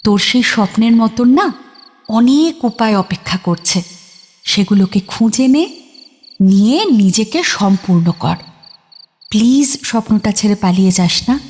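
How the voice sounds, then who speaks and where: A woman's voice: medium pitch, lively intonation, a little slow, long pauses, a little mellow, natural voice. An actress playing a mysterious young woman who speaks intimately and persuasively in an audio drama. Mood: earnest, pleading. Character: mysterious young woman, embodiment of a hidden will to live.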